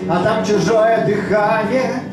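A man's voice: sits high, fairly even intonation, slow. A middle-aged singer-guitarist on a small club stage, talking and half-singing his lines into a stand mic in an earnest, questioning way. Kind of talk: talking and reciting between songs. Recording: echoey live club sound. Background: loud acoustic guitar.

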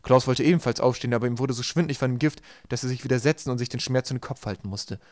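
Male audiobook reader, medium pitch, very dry sound, scripted storybook narration. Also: very fast, darkish tone, no room sound.

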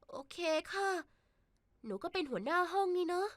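A woman voicing an earnest, bossy schoolgirl class leader in an animated cartoon. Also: extremely high pitch, takes it slowly, no room sound, exaggerated voice. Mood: troubled. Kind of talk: animated cartoon dialogue and narration.

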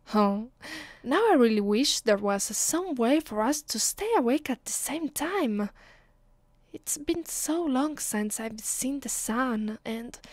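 A woman with an Italian accent playing an affectionate, playful vampire girlfriend in an ASMR roleplay, speaking softly, intimately and teasingly. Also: a little high, no room sound. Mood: tender, wistful. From Italy. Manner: soft, tender, teasing, intimate. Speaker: voice actress.

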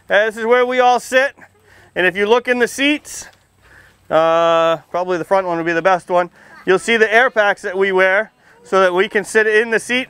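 A middle-aged American man speaks as a firefighter showing young children around, projecting a high, clean voice. His delivery is informal and folksy, full of simple questions. He is outdoors, and the sound is dry, with no echo. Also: a bit bright, smooth. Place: outdoors, under a tent and beside a fire truck.

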